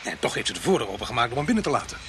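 A man's voice: mid-range voice, very animated, very fast, fairly bright tone, slight background noise. A man acting in a radio drama as a hot-headed police sergeant, protective of his people and emphatic. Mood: incredulous.

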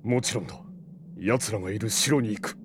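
A man's voice: on the low side, normal speed, noisy background, natural voice. An actor playing a stern, resolute male monster hunter who speaks in a curt, commanding way. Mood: steady. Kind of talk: audio drama acting. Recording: loud music score behind.

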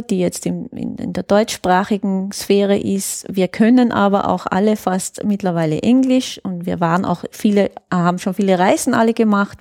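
A woman's voice: medium pitch, mellow, dry recording, clean studio sound. A woman explains things thoughtfully in a conversational interview, often searching for words. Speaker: interview guest, youth worker.

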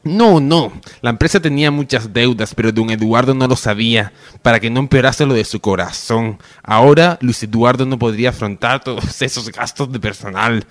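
Man as earnest mid-pitched soap confidant. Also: normal speed, hardly any pauses, no room sound, lightly acted. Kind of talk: radio soap opera parody.

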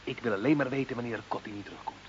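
A man's voice: medium pitch, racing, close to an everyday voice. An actor playing a cocky, impatient working-class man in a radio drama, blunt and teasing. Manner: brash, blunt. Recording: dull, noisy old radio recording.

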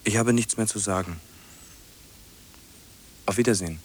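Actor as a low-voiced, brooding, accusing man. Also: moderate pace, slight background noise, natural voice. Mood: insistent. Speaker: actor in a radio drama. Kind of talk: German radio play acting.

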